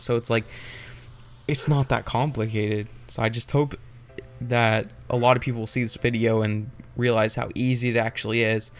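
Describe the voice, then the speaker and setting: Man, tutorial host, informal and hesitant, rambling unscripted explanation, medium pitch, music behind. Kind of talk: casual unscripted screen-capture tutorial.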